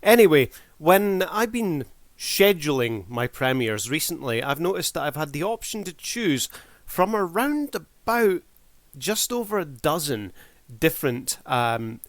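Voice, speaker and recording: A man's voice: a little high, big pitch swings, a little slow, dry recording. A man giving casual review commentary as a video creator, in a wry, mock-formal showman's manner.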